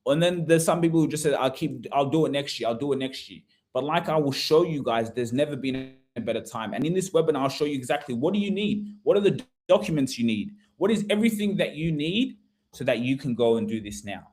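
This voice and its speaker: A man's voice: mid-range voice, animated, brisk, darkish tone. A man presenting an informal live webinar, conversational and repetitive, often putting rhetorical questions to listeners. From Australia. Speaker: webinar host, property investment advisor.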